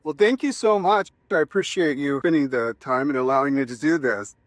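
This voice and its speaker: A woman's voice: low-pitched, a little fast, no room sound, studio quality. A woman field reporter talking casually and gratefully with a vet. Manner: grateful, friendly. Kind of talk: casual on-location interview.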